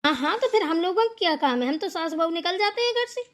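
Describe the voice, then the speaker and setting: A woman's voice: extremely high pitch, expressive, fast. A woman voicing a domineering older mother-in-law in a family cartoon, scolding and nagging with sarcastic questions. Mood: sarcastic, exasperated. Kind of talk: amateur Hindi family cartoon dialogue.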